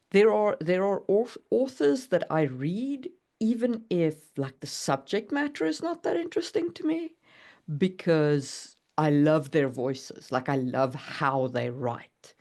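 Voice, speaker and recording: A woman's voice: fairly low pitch, lively intonation, takes it slowly, darkish tone. A woman co-hosting a podcast in casual conversation. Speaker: podcast co-host.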